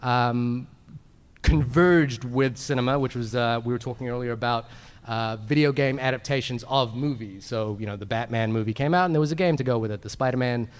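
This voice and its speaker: A man's voice: mid-range voice, brisk, smooth, long reverb tail. A man co-hosting a film podcast as a live panel at a convention, addressing the audience with frequent restarts.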